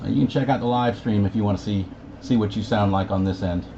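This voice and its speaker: A man's voice: lowish voice, fast talker, gravelly. A man, a CB operator hosting a channel in casual radio chat. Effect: CB radio sound. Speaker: CB operator running a live stream. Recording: muffled, noisy CB radio capture.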